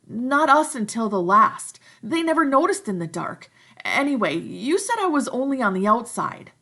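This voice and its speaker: A woman voicing a shrill, anxious schoolboy while reading a novel aloud. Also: normal speed, gravelly, full character voice. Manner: shrill, pleading, excited.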